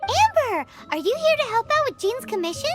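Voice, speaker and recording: A woman voicing a tiny, cheeky, childlike fairy girl in a video game, with a squeaky voice and a pert manner. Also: big pitch swings, a little fast, loud music behind the voice. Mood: curious, cheeky. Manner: speaks of self in third person, pert. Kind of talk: English video game voice acting.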